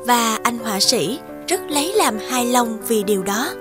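A woman's voice: fairly high pitch, lively intonation, moderate pace. A woman reading scripted storybook narration for a cartoon. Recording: music behind the voice.

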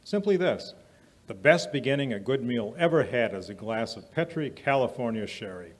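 Affable 1940s radio announcer, played by a man: theatrical salesman, mid-pitched, slightly rough voice. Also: animated, normal speed, close to an everyday voice. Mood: smooth selling. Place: small stage in a museum, live performance. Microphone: vintage-style stand microphone.

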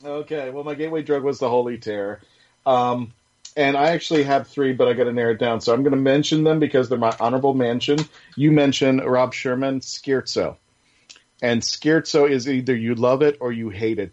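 A man's voice: medium pitch, a little mellow. Male podcast host, dull lo-fi sound. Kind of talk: casual fan podcast chat. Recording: dull lo-fi audio.

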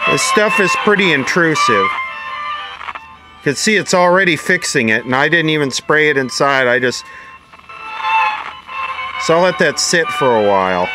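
A man's voice: on the high side, lively intonation, moderate pace, long pauses, over loud music. A man giving casual hands-on repair commentary, muttering to himself as he works. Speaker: radio repair hobbyist. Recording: noisy workbench with radio sound behind.

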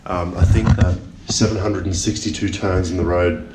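A man's voice: low-pitched, steady tone, moderate pace, heavy background noise. A man in his thirties chatting casually to camera as a travel vlogger, sounding weary, recorded on a camera held at arm's length. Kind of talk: casual vlog chat to camera. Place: outdoors by a street and in a hotel room. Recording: loud music over the voice.

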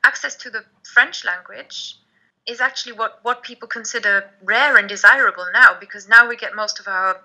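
Woman, thirties, very bright voice, dull lo-fi headset call audio, emphatic, laughs a lot. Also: on the high side, moderate pace. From Germany. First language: German. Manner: lively, emphatic, laughs often. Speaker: guest, language blogger and podcaster. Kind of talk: casual video call chat. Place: home room, indoors. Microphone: headset on video call.

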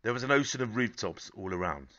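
A man in his thirties reads a children's picture book aloud. His voice is fairly low and bright, with animated swings in pitch. The phrasing is measured and gently dramatic, and the recording sounds a little dull. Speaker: storybook reader. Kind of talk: expressive children's story narration.

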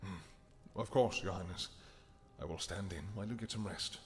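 A man's voice: low-pitched, normal speed, voice pushed down, natural voice. A man voicing a deferential male royal servant who speaks politely and formally in an audio roleplay. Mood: soothing. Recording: long reverb, faint hiss.

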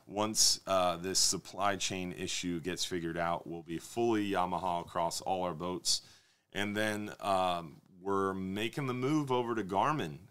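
A man in his thirties hosting a live fishing Q&A stream from a studio desk, reading viewer questions and answering them in a relaxed, conversational way. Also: sits low, smooth. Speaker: fishing show host. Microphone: desk microphone on stand.

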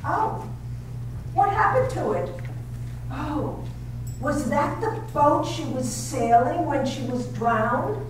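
Actress as a high-voiced anxious young wife, slow and hesitant, echoey distant room. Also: female voice, expressive. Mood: curious. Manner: hesitant, stammering, pleading. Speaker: amateur radio drama actor and narrator. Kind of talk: 1930s radio drama. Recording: echoey, distant, faint hiss.

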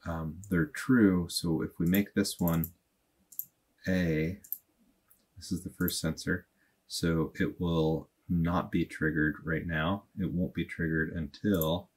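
Low husky man thinking aloud. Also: little pitch movement. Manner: thinking aloud, plain and unhurried, with fillers. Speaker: automation tutorial host. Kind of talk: casual screen-recorded tutorial. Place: small indoor room at a desk.

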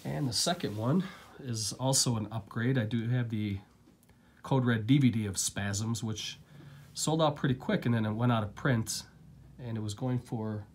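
A man with a lingering cold, casually chatting through a relaxed, rambling unboxing of his new discs, recorded indoors at home. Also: medium pitch, moderate pace, slight background noise. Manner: relaxed, rambling, filler-heavy. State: getting over a cold, coughing. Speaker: collector vlogger.

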